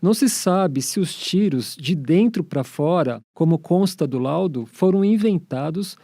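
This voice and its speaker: A Brazilian man narrates a scripted documentary in a fairly high yet mellow voice. He delivers it as a presenter reading. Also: animated, measured pace, dry recording, studio quality.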